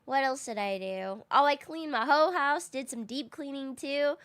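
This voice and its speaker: A woman game streamer chatting casually with her viewers while she plays, slightly raspy from just waking up, easygoing and quick to laugh. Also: high voice, fairly bright tone. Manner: chatty and easygoing, laughs often, thinks aloud. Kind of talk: casual live-stream chat, answering viewers.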